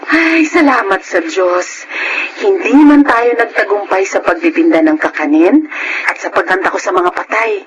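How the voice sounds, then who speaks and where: A woman's voice: medium pitch, lively intonation, normal speed, lo-fi sound, a crowd in the background, lightly acted. A woman playing a cheerful, hardworking, middle-aged seamstress mother in a Filipino radio drama, speaking expressively with a Filipino accent. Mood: contented, grateful.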